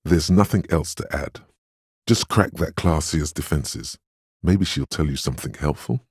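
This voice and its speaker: A man voicing a video game character's inner voice of willpower, solemn, measured and reassuring, giving earnest counsel. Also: a bass voice, husky, dry recording, clean studio sound, full character voice. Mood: counselling. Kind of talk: scripted inner-voice narration for a role-playing game.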